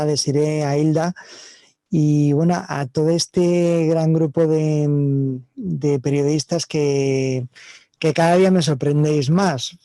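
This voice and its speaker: A man with a Spanish accent from Spain speaks on an online panel, reflecting on his project in a halting way. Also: on the high side, mellow, no echo. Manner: halting, reflective, many restarts. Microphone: video call.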